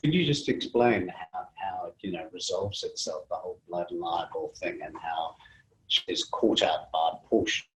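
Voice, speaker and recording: A man with a very deep voice hosts a conversation over a video call. He talks discursively, with hesitant phrasing, as he sets up points for his guest. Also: measured pace, poor audio. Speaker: interviewer.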